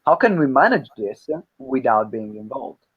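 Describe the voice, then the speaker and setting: A man with an Italian accent, hosting a podcast and reading out listener questions, halting with fillers and restarts. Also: medium pitch, big pitch swings, breathy edge, dull audio. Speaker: podcast host.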